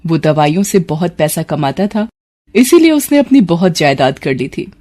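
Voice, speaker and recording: A woman's voice: low-pitched, lively intonation, normal speed, a little mellow. A woman narrating a scripted moral story as its storyteller. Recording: clear background noise.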